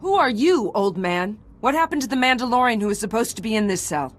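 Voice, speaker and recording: A woman's voice: on the high side, expressive, brisk, over music, natural voice. An actress playing a stern, curt Mandalorian warrior woman in a video game, commanding and grim. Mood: grim, vengeful.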